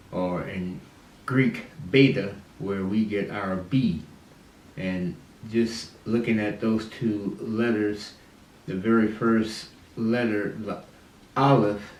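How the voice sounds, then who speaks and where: A man hosting a religious teaching series, explaining in a deliberate way with short, halting phrases. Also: on the low side, a little mellow. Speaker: host and teacher of a Bible and Hebrew series. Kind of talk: religious lesson on the Hebrew alphabet. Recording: roomy, distant mic with faint noise.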